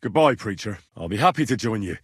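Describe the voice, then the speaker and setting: A man's voice: on the low side, sing-song, quick pace, husky, no echo, clean studio sound. A man voicing a young medieval man-at-arms in a video game, earnest, cheeky and plain-spoken, talking in a direct, inquisitive way. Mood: businesslike, polite. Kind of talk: video game dialogue acting.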